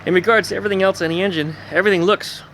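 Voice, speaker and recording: A man's voice: high-pitched, rapid-fire, slight background noise. Chatty man in an outdoor garage vlog. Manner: relaxed, chatty, with frequent fillers. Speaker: garage vlogger restoring a car. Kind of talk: casual workshop vlog.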